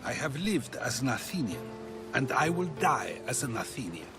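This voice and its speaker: A man's voice: mid-range voice, big pitch swings, moderate pace, music in the background. An actor playing a proud, loyal Athenian man who speaks steadily and resolutely.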